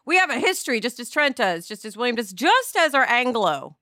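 Female panelist, bright and fairly high voice, wry and conversational, close dry sound. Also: very animated, brisk, no echo. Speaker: podcast panelist. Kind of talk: casual panel discussion.

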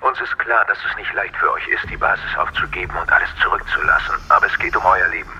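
An actor playing an earnest mission control operator in an audio drama, speaking gravely and deliberately through a radio transmission filter. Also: male voice, deep voice, background noise. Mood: grave urgency. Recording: rough, dull radio transmission sound.

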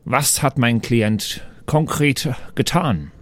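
A man's voice: medium pitch, takes it slowly, dry recording, lightly acted. Man as an insistent dwarf bluffing as lawyer. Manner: formal, insistent, improvised. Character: insistent dwarf posing as a formal male defence lawyer.

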